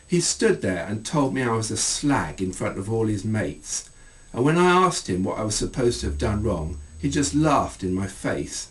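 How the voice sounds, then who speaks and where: A man's voice: fairly low pitch, very animated, moderate pace, far from the mic. A man voicing an indignant, scornful teenage girl in a book reading, emphatic, dismissive and colloquial. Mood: hurt, angry. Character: indignant, scornful teenage girl ranting about her boyfriend.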